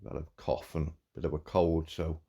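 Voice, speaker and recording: A man, croaky from a cold, giving halting, warmly praising commentary on a farm game. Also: extremely low pitch, little pitch movement. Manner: halting, repeats words, warm praise. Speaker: farm game channel host. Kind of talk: casual gameplay commentary.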